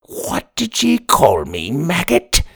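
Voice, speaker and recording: A gruff, touchy pirate storybook, put on by a man reading aloud. It barks pirate slang and growls threats, louder than the narration, with a lively, swinging pitch. The sound is close and dry. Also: slow, no room sound, full character voice. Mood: gruff, bossy.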